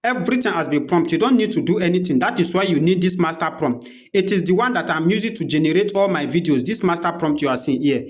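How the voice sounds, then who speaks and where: A man with a Nigerian accent presenting an unscripted software walkthrough, explaining step by step and repeating his instructions as he goes. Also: on the high side, lively intonation, fast. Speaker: tutorial presenter.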